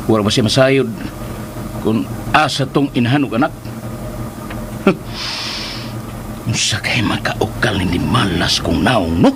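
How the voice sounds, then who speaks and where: A man's voice: mid-range voice, noisy background. An actor playing a hot-tempered, scheming man in a radio drama, muttering to himself and cursing. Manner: muttering to himself, bursting into curses.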